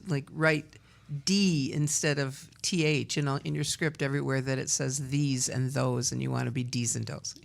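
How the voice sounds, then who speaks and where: A woman's voice: rumbling low, fairly even intonation, normal speed, vocal fry. A woman from Wisconsin representing a community theatre in a casual radio interview, talkative and laughing often. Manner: talkative, laughs often, trails off mid-sentence.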